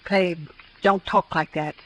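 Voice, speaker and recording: A woman's voice: lowish voice, fairly even intonation, takes it slowly. An actress playing a vengeful widowed frontier mother, plainspoken and resolute, in a 1950s radio Western. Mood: guarded. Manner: plainspoken, rustic. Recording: noisy old 1950s radio recording.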